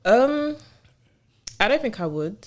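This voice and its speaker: A woman's voice: fairly high pitch, big pitch swings, slow. A woman hosting a podcast, talking in an easygoing, reflective way in casual chat. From Jamaica. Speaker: host.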